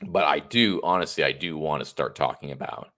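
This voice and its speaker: A man's voice: extremely low pitch, fairly quick, bright, forceful tone. A man in his thirties hosting a casual political livestream, congested and a bit tired, talking in a chatty, rambling, opinionated way. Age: 30s. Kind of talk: casual solo livestream monologue.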